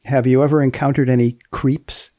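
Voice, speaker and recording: A man hosting a podcast interview, asking questions in a deliberate, deadpan way. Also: mid-range voice, dry recording, clean studio sound. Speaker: host, magazine editor. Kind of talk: podcast interview with scripted introduction.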